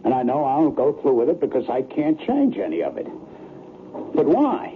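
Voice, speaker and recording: A man's voice: medium pitch, wildly swooping pitch, normal speed, slight background noise. Nervous male patient, blunt businessman, widely swinging intonation, agitated, radio drama, narrowband vintage recording. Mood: fearful, helpless. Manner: agitated, informal, emphatic. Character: anxious, resigned businessman patient, blunt and colloquial. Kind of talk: old-time radio drama acting.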